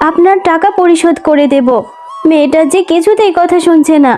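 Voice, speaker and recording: A woman's voice: very high-pitched, fairly quick, mellow. A woman voicing a poor, sorrowful widowed mother fox, plaintive and pleading, in a children's cartoon story. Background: music.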